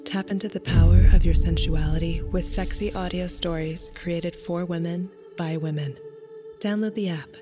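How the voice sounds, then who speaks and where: Low sultry woman announcer. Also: fairly even intonation, moderate pace, music in the background. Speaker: show announcer. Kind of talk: scripted announcement.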